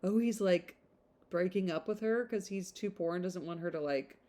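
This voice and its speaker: A woman's voice: lowish voice, dark tone. Casual woman reading a book aloud. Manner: informal, halting, puzzles over words. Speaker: amateur reader. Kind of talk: casual read-aloud with off-the-cuff asides.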